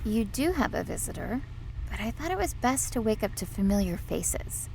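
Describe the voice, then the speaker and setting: A woman acting in an audio drama as a considerate starship doctor, calm, clinical and gentle. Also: mid-range voice, normal speed, gravelly, a little hiss or hum, rough recording.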